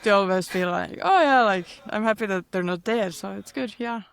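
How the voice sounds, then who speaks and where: A woman's voice: medium pitch, moderate pace, gravelly, clean tone. Giggly Finnish woman podcast co-host. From Finland. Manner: giggly, playful, lots of agreeing. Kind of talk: casual podcast chat.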